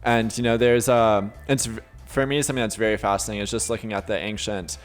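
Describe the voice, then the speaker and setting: A man's voice: on the low side, steady tone, quick pace, soft background music. A man speaking as a podcast guest and life coach, explaining ideas in an earnest, enthusiastic, affirming way with frequent "you know"s. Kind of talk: conversational interview, reflective explaining.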